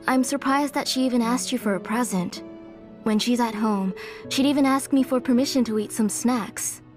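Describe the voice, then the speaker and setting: A woman voicing a gentle, guilt-ridden mother. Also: a little high, natural voice. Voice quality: soft, gentle, sorrowful. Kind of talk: game dialogue. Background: soft game music.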